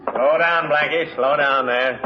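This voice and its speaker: An actor playing a sarcastic, easily exasperated American police inspector in gruff, slangy old-time radio detective style. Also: male voice, medium pitch, fairly even intonation, unhurried, natural voice. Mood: smug, bossy. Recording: muffled, noisy old radio recording.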